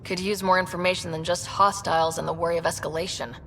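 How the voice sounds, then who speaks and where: A woman's voice: flat delivery, fast talker, a bit bright, soft background music. A woman playing an assured, direct expedition commander in a video game. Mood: resolute. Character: young woman pathfinder, steady, teasing expedition commander. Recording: faint engine rumble behind.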